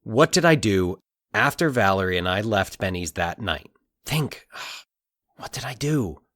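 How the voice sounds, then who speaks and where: Male narrator as brooding young man, baffled, musing to himself, swooping pitch, audio fiction, close dry recording. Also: lively intonation, unhurried, fairly bright tone, no echo. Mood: intent, recalling. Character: Mike, baffled, brooding young man investigating eerie coincidences. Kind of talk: fiction podcast dialogue within narration.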